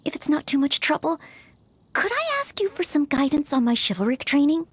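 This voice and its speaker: An actress playing a polite, eager young maid who dreams of knighthood in a video game, speaking with apologetic hesitance. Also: female voice, high-pitched, big pitch swings, a little fast. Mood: earnest, polite.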